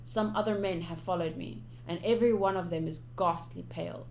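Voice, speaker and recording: A woman's voice: fairly low pitch, fairly even intonation, fairly quick, creaky, darkish tone, a little hiss or hum. A woman streamer reading visual novel text aloud, with casual commentary of her own. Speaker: game streamer. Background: game music.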